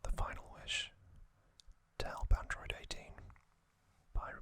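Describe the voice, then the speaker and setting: A man whispering an ASMR trivia recap in a breathy, unvoiced voice, deliberately, in short phrases with pauses. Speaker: ASMR host.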